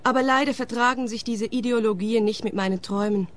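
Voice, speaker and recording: A woman's voice: fairly high pitch, quick pace, lightly acted. A young woman playing a cheeky squatter. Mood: teasing. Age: young adult.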